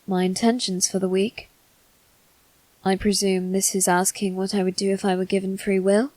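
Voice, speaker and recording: A woman voicing a male demon servant in a scripted ASMR roleplay, speaking formally and courteously with soft, flirtatious teasing. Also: mid-range voice, flat delivery, normal speed, no echo, lightly acted. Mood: calm, wistful.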